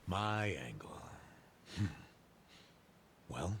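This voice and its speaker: A man's voice: low-pitched, big pitch swings, drawn-out words, vocal fry, a bit bright, slight background noise, exaggerated voice. A man playing a world-weary older detective near retirement in an English-dubbed video game, wry, needling and blunt. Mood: wry, probing.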